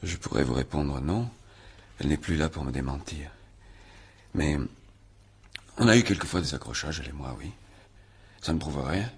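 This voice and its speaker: An actor playing a stubborn, guarded country man under questioning in a French radio crime drama, blunt, defensive and halting. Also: very deep voice, vocal fry, slightly rough, exaggerated voice. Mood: subdued. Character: stubborn, guarded young male country murder suspect.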